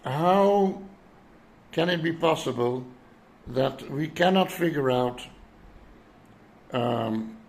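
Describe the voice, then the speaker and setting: A man in his seventies from Europe speaking in an unscripted, reflective monologue, halting as he searches for words. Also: on the high side, lively intonation. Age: 70s. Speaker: citizen vlogger addressing world leaders.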